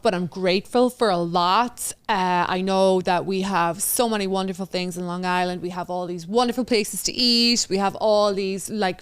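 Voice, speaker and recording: An Irish woman in her forties hosts a relaxed talk show, chatting easily and laughing at herself. Her voice is smooth and clear, and her pitch sits in a middle range. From Ireland. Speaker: host. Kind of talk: casual chat.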